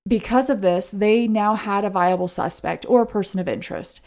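A woman with a warm, rounded, relaxed tone co-hosts a true crime podcast. She lays out the case conversationally, as a story, explaining each step earnestly. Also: medium pitch, a little fast.

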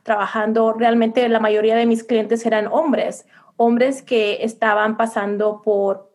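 A woman's voice: fairly high pitch, darkish tone. A middle-aged woman who is a psychologist and coach, telling her story in a reflective, earnest way during an interview. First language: Spanish. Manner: earnest and reflective, with frequent restarts. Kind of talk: conversational interview, personal storytelling.

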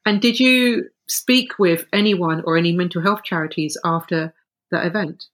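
A woman with a fairly low voice asks questions in an interview. Her delivery is measured and gentle.

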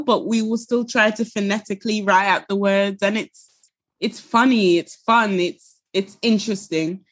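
A young woman with a Yorkshire accent, chatting as an interview guest and laughing easily. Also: medium pitch. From Finland, raised in England. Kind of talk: casual interview talk.

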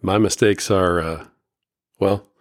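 A middle-aged man from Indiana, hosting a casual solo podcast in a folksy, earnest way, his voice a bit raspy today. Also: low-pitched, steady tone, takes it slowly, dry recording, studio quality. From Indiana, USA. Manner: folksy, earnest, many fillers and restarts. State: slightly raspy voice. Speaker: podcast host and life coach.

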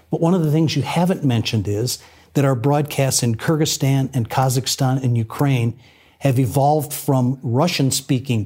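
A man with a voice of middle pitch speaks in a measured, earnest way. He talks in long, steady sentences, as in a broadcast interview. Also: slightly rough.

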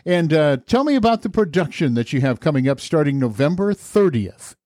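A man's voice: on the high side, sing-song, a little fast, darkish tone, no echo, clean studio sound. An American man hosting a podcast in a conversational interview style. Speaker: podcast host.

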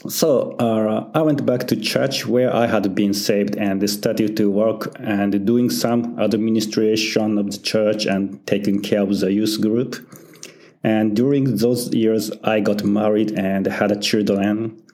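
Low-voiced Japanese man, halting English. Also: steady tone, a little mellow. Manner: halting non-native English with frequent "uh" pauses and laughs. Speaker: church founder and senior pastor. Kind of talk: interview answers.

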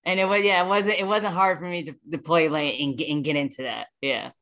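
A woman speaking casually as an interview guest, reflective and halting as she talks about her work. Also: fairly low pitch, steady tone, a bit bright. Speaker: voice actor guest.

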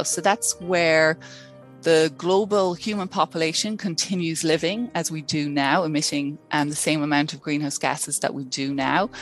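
A woman with an Irish accent, from rural Ireland, a scientist answering interview questions with many ums and restarts. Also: deep voice. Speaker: scientist guest.

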